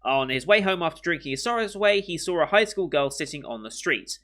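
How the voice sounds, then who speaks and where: A young British man talks casually to his viewers with a bright, forward voice and a slight sniffle from a coming cold. He rambles, backtracks and fills gaps with ums as he thinks aloud. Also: on the high side, expressive, fairly quick. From Britain. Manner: rambling, with many ums and restarts. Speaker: anime reaction host. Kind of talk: casual chat to camera.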